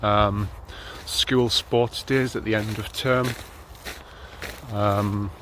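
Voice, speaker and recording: Slow-talking northeast English man, flat voice. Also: lowish voice, a little hiss or hum. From North East England. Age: 30s. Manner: relaxed, rambling, lots of ums. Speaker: running vlogger. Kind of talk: casual chat while jogging. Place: outdoors on a snowy morning run. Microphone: handheld camera while running.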